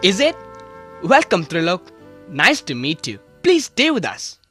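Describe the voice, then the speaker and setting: A woman voicing Raman, a clever adult man and court advisor in an animated children's story, speaking politely and calmly. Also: on the low side, a little slow, music in the background. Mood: matter-of-fact.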